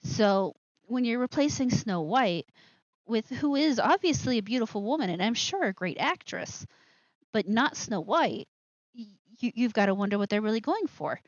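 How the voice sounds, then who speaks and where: A woman's voice: fairly high pitch, lively intonation, normal speed, dry recording, highs cut off. A woman hosting a live show with casual commentary, rambling and interrupting herself with asides.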